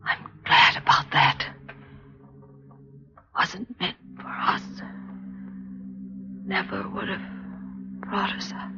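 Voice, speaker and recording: An actress playing a dying, bitterly forgiving wife, faint, breathy and faltering, in 1940s radio drama style. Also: female voice, mid-range voice, flat delivery, slow, pauses often. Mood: fading, sorrowful. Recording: muffled old radio broadcast.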